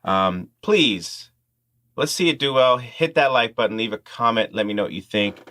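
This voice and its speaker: Bright-voiced man teaching music. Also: medium pitch, moderate pace. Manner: informal, step-by-step explaining. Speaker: music tutorial host. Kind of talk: instructional talk to camera.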